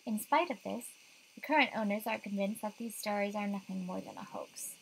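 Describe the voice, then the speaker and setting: A woman narrating a gothic haunted mansion tale in a solemn, hushed, deliberate way, like a dramatic game prologue. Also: mid-range voice, creaky, a little hiss or hum, close to an everyday voice, low-quality recording. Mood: solemn, ominous.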